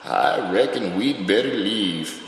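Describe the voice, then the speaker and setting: A man's voice: fairly low pitch, expressive, slow. A man playing a world-weary rustic father in a bleak audio drama, speaking in terse, grave, folksy phrases. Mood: grave, resigned. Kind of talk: surreal audio drama dialogue. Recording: very echoey reverberant hall, faint noise.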